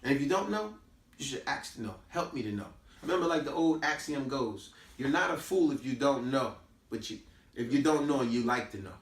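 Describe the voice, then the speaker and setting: A man's voice: medium pitch, expressive, a little fast. An American man giving an informal, preachy teaching talk, emphatic and repetitive, full of rhetorical questions. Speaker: host giving a talk on history and culture. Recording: echoey room, distant microphone.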